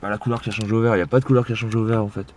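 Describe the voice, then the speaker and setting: Young man, slightly low voice, tipsy, joking and swearing, faint background hiss. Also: flat delivery, darkish tone. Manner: joking, swearing, slow deliberate reading aloud. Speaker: vlogger. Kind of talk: tipsy casual chat. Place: in a car at night.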